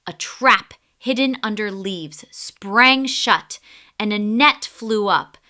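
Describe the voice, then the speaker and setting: Woman, children's storyteller, slow pace, bright tone, expressive, deliberate, scripted narration. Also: medium pitch.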